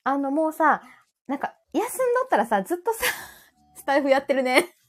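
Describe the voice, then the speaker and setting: A woman's voice: sits high, animated, brisk, soft background music. A woman with a Kansai Japanese accent chatting casually and teasingly as co-host of a live show. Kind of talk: casual chat.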